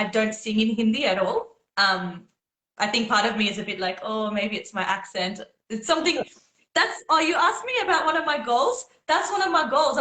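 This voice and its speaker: A woman whose first language is Gujarati speaks as a guest in a relaxed live chat. Her voice sits a little high, and she sounds earnest and encouraging, laughing easily between thoughts. The sound has a noticeable room echo. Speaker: guest singer. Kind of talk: casual live video chat.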